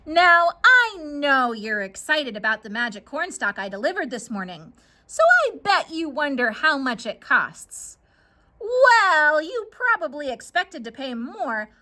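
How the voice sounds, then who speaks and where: A woman voicing a small, plucky, quick-witted girl in a children's story, expressive and dramatic. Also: sits high, exaggerated voice. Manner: exclaiming, expressive, eager.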